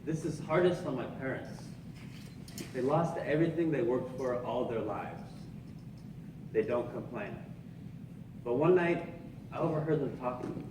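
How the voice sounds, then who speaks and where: An actor playing a young Japanese-American sergeant earnestly recounting camp life in a live 1940s-style radio play. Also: male voice, medium pitch, measured pace, pauses often, natural voice. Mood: sober, earnest. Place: theatre stage, live performance. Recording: roomy stage recording, distant mic, noisy.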